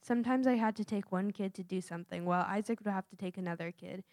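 A woman's voice: mid-range voice, quick pace, darkish tone, dry recording. Earnest young woman giving a testimony. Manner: earnest, nervous, with small laughs. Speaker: church mission trip speaker. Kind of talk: reading a prepared testimony.

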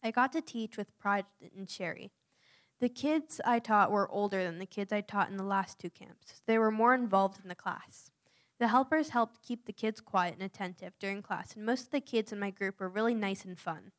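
A young woman from the United States reading a prepared personal account aloud in an earnest, steady way. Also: medium pitch, normal speed. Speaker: youth mission volunteer.

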